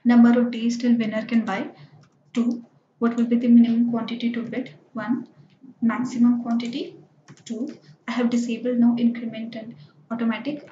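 A woman narrating a screen-recorded software tutorial, explaining each step plainly with lots of "so" and "like". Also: a little high, slight background noise. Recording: dull, roomy screencast voice-over.

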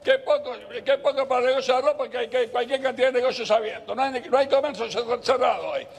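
A man's voice: very high voice, fast, vocal fry, husky, long reverb tail. An eighty-year-old man with an Argentine Rioplatense accent arguing heatedly and repeating himself as a merchant in a live TV interview. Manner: agitated, argumentative, repeats himself. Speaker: textile merchant being interviewed. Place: inside a clothing shop. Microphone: handheld reporter microphone.

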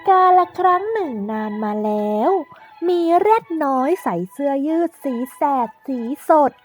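A woman narrating a scripted children's storybook in a drawn-out, deliberate way for young learners, in the manner of a teaching tale. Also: sits high, very animated, soft background music.

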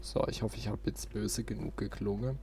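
A man's voice: fairly low pitch, steady tone, normal speed. A man casually commentating as he plays a video game. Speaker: let's player.

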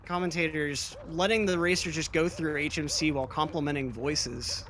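Chatty man calling a speedrun, bright tone. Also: on the high side, fairly even intonation, moderate pace. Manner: chatty, joking, analytical. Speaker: speedrun commentator. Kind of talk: casual race commentary.

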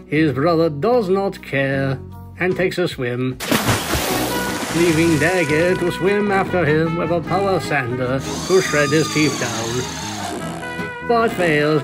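A man's voice: fairly high pitch, rough recording. A man reading a story aloud as a scripted narration. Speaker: fanfiction reader, narrator.

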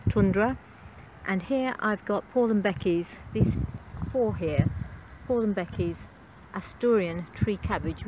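A middle-aged woman talks through her gardening in a relaxed, homely way, her voice sitting a little low. She fills pauses with ums as she goes. It sounds like a phone recording made outdoors in a garden. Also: background noise. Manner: chatty, homely, with frequent ums. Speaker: gardening vlogger. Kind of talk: casual gardening commentary. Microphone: handheld phone camera.

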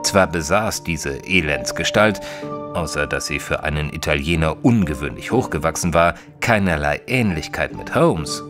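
An actor playing a detective's companion, narrating an audio drama in a measured, literary, descriptive way. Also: male voice, rumbling low, lively intonation, over music. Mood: measured, wry. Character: male companion of a detective, narrating in first person.

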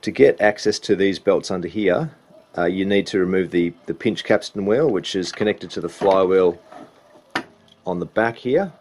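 A man's voice: deep voice, normal speed, darkish tone. A man talks through a hands-on repair. His delivery is informal and explanatory, going one step at a time. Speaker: repair hobbyist demonstrating a belt change. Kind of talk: casual hands-on repair walkthrough.

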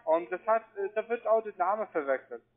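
A non-native man with a foreign accent doing persuasive sales talk over the phone, insistent, repetitive and halting in his phrasing. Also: high voice, animated. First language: not German. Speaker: call center agent selling trading courses. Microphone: telephone. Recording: tinny, band-limited phone line.

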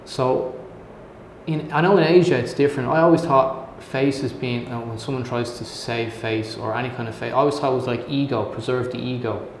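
A man's voice: mid-range voice, fairly even intonation, brisk. A man in his thirties with an Irish accent, hosting an interview in a casual, enthusiastic way in an office meeting room. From Ireland. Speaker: interviewer, host. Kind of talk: conversational interview. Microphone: lapel mic. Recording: roomy room with faint noise.

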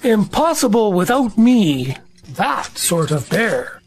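A woman playing a slow-witted, good-natured toy bear in a children's storybook audio drama, naive and forgetful. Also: mid-range voice, big pitch swings, a little mellow, soft background music. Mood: wistful.

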